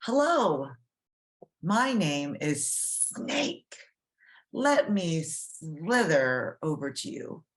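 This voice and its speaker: Woman playing storybook snake, friendly, low-pitched, slow, simple explanations for children. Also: very animated, full character voice. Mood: friendly, proud. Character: friendly cartoon snake, former video game star. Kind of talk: children's read-aloud storybook.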